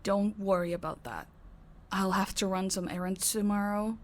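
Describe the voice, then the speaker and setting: A woman playing a caring, calm vampire in an audio roleplay, speaking in a soft, gentle, concerned way. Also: medium pitch, moderate pace, lightly acted. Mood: reassuring. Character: caring, calm female vampire who looks after her housemates.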